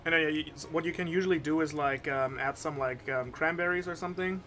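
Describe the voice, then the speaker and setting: A man chatting casually as a guest on a cooking livestream, easygoing and quick to laugh. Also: fairly high pitch, pushed and bright, soft background music.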